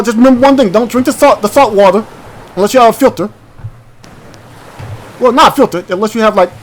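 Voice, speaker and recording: A man hosting a gaming channel, chatting and rambling casually as he reacts aloud to a game. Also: sits high. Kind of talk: casual let's-play commentary.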